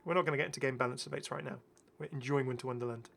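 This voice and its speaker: A man's voice: medium pitch, steady tone, very fast, vocal fry, a bit bright. A middle-aged man giving enthusiastic, casual, chatty commentary as a gaming video host, often repeating his phrases. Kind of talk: casual game skin showcase commentary.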